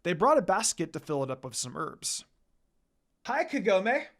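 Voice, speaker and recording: A woman's voice: sits low, big pitch swings, fairly quick. A woman reading fan fiction aloud as an amateur narrator, telling the story in a dramatic storytelling way.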